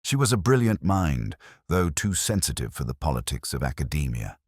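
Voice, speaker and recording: Very deep-voiced man narrating detective fiction. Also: sing-song, slightly rough, dry recording, studio quality. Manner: measured, brooding, literary phrasing with deliberate pauses. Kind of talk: first-person detective story narration, scripted.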